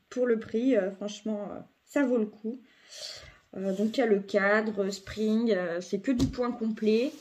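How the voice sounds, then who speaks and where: A woman's voice: medium pitch, darkish tone. A woman with a cold chatting casually through a craft unboxing at home, hesitant, with many fillers and self-corrections. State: has a cold. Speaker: craft vlogger.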